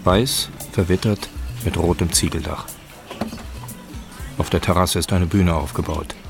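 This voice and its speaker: A man reading scripted, scene-setting narration for a documentary radio drama in a measured, descriptive way. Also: low-pitched, fairly even intonation, gravelly, over music.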